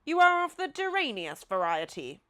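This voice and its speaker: A woman acts out a grand, generous Duke from a children's tale. The voice is bright and swoops widely. He declaims pompously, sure that he knows everything. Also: high voice, sing-song, moderate pace, pitched above the performer's own voice, heavily acted. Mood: knowing, impatient. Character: grand, emphatic, know-it-all, generous old-fashioned male Duke.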